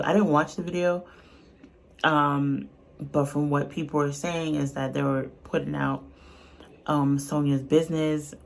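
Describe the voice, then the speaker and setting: A woman's voice: sits low, a little mellow. A woman giving casual, unscripted reaction commentary in a blunt, colloquial, earnest way. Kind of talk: casual, unscripted opinion talk.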